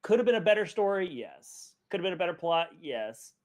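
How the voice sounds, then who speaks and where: A middle-aged man hosting a casual podcast, chatting in an animated way and often interrupting himself to restart. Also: high voice, a little fast. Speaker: podcast host.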